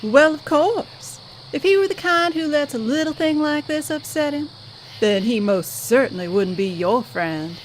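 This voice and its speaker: Actress as motherly band director for audio drama: highish voice, slow delivery, folksy reassurance. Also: expressive, slight background noise, low-quality recording. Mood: reassuring. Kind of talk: audio drama character acting.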